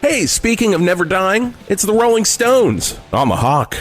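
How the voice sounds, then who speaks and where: A man playing a deadpan classic rock radio DJ, delivering showy patter in a parody radio shift. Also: sits high, moderate pace. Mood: deadpan, upbeat. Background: music behind the voice.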